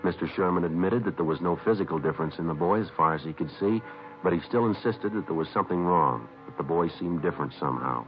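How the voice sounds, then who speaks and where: An actor playing a businesslike police sergeant, narrating a 1950s radio crime drama in a clipped, understated, matter-of-fact way. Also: male voice, deep voice, fast talker, natural voice. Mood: flat, matter-of-fact. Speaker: detective narrator. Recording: dull vintage radio recording.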